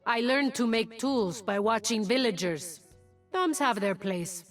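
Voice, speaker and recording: A woman performs a fierce shapeshifting jungle huntress from a video game. She speaks slowly in short, deliberate lines with proud menace. Music plays underneath. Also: on the high side, recorded from a distance, close to an everyday voice. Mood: solemn, resolute. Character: fierce, proud adult huntress who shifts into a cougar.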